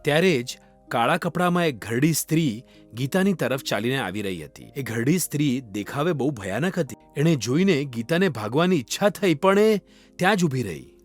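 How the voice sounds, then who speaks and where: Animated man narrating a children's story. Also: medium pitch, moderate pace. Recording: music under the voice. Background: background music.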